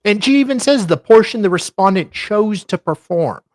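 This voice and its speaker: A man's voice: high voice, animated, moderate pace, no echo. A man co-hosting a show, reading legal filings dramatically with sardonic, mock-theatrical asides. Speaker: co-host doing a dramatic reading.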